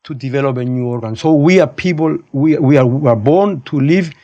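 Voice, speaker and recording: A man's voice: a little high, fairly quick, mellow, lo-fi sound. A man in his fifties with a Cypriot accent talking casually as an interview guest, opinionated and digressive, often repeating and rephrasing his points. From Cyprus. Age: 50s. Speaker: podcast guest, high school physics teacher.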